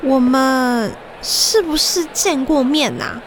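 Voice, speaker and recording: A woman playing an excitable, chatty teenage idol fan in an audio drama. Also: sits high, takes it slowly, noisy background. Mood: curious. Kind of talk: Chinese audio drama acting. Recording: music under the voice.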